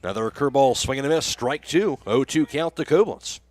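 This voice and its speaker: An American man works as a radio play-by-play announcer at a baseball game. His voice sits at a middle pitch. The sound is dry, with very little room in it. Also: expressive, a little fast, no room sound. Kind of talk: live baseball play-by-play commentary. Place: live radio broadcast from a high school baseball game.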